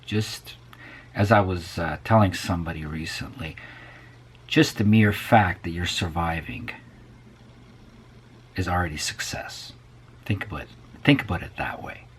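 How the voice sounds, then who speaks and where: A man's voice: low-pitched, unhurried, long pauses, a little hiss or hum. A man hosting a casual vlog, rambling and musing to viewers, often dropping to a near whisper. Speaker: vlog host.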